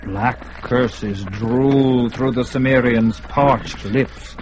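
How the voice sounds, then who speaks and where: A man giving dramatic scripted narration for a radio drama, measured and intense. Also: medium pitch. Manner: dramatic, measured, rising with the action.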